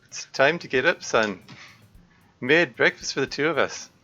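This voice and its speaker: A man's voice: mid-range voice, lively intonation, moderate pace, bright, forceful tone, pitched above the performer's own voice. A man voicing a stern middle-aged uncle with a Yorkshire accent, nagging his nephew to get up. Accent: Yorkshire, as attempted. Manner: gruff, nagging, raised voice. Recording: dull lo-fi stream audio, faint music.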